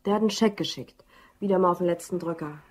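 An actress playing a curious, businesslike office colleague in a German crime radio play. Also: female voice, fairly low pitch, quick pace, breathy edge. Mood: matter-of-fact.

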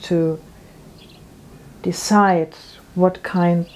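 Middle-aged female practitioner, earnest interviewee, warm low-ish tone. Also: fairly even intonation, extremely slow, slight background noise. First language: German. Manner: earnest, explanatory, with frequent restarts. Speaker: natural medicine practitioner. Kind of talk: interview answers. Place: room with bookshelves.

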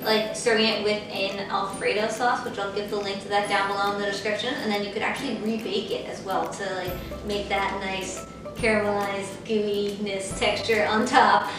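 A woman's voice: medium pitch, flat delivery, moderate pace. A young woman hosting a casual cooking tutorial in her home kitchen, upbeat and chatty, with lots of "like". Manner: chatty and upbeat, says "like" often. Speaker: cooking channel host. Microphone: far camera mic. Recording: echoey kitchen, mic at a distance, music underneath.

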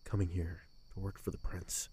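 An actor playing a heartbroken, bitter young servant in an audio drama, moving between hushed hurt and emotional outburst. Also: male voice, deep voice, little pitch movement, normal speed, slightly rough. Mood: subdued, sad.

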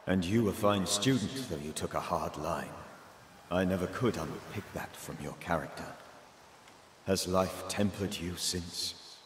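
A man playing the ghost of an older reverend and mentor in a video game, grave, pleading and formal. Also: deep voice. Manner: solemn, measured, formal phrasing. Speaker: reverend and former banisher. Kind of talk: dramatic video game acting. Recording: echoey hall reverb, faint noise, ambient music.

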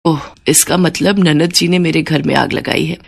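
A woman voicing a caring, sensible elderly mother-in-law in a cartoon story, speaking in a measured way. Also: low voice, fairly even intonation, vocal fry. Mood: puzzled.

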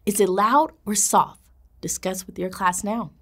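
A woman in her thirties, a teacher presenting a kids' educational video with an animated, encouraging manner. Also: medium pitch, a little slow, over music. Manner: animated, encouraging, gestures while speaking. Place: indoor classroom studio set.

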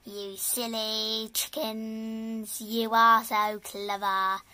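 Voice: medium pitch, level tone, extremely slow, sharp tone, clear, resonant tone, dry recording. A young storyteller acting out an amateur toy puppet play in a theatrical, exclamatory way.

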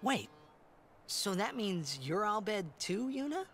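A man's voice: high voice, big pitch swings, slow pace, fairly bright tone, a little hiss or hum, exaggerated voice. A young man playing an earnest, impulsive adventurer in a video game, boyish and casual. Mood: surprised. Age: young adult.

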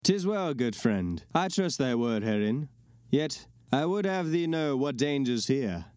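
An actor playing a formal, wary imperial commander, speaking measured archaic verse in an audio drama. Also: male voice, mid-range voice, expressive, a little mellow, smooth, no echo, studio quality, close to an everyday voice. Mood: wary, stern.

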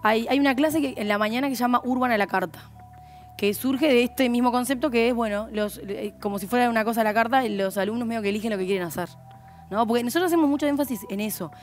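Fast-talking Uruguayan woman trainer. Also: fairly high pitch, soft background music. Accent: Uruguayan Spanish. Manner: chatty, explains with quoted anecdotes. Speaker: gym trainer guest. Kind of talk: casual studio chat. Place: streaming studio set.

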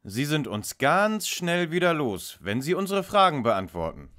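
An actor playing a polite, precise young lead detective in a parody detective radio play. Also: male voice, on the high side, very animated, measured pace, a bit bright. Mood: courteous. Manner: formal, courteous, precise.

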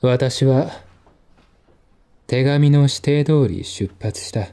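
Slow, dark-voiced male mystery narrator. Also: medium pitch, clean tone. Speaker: narrator and reader. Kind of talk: dramatic scripted narration of a mystery novel.

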